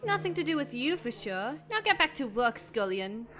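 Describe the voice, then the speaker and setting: A woman playing a bossy, brash servant in a dramatic fan dub, brusque and expressive. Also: on the high side, normal speed, a little hiss or hum. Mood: dismissive. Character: bossy, scolding female servant, brash and exasperated. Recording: music behind.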